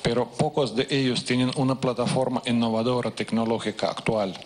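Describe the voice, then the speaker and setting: A man's voice: medium pitch, fairly even intonation, fairly quick. A man interpreting consecutively, hesitant with fillers and restarts.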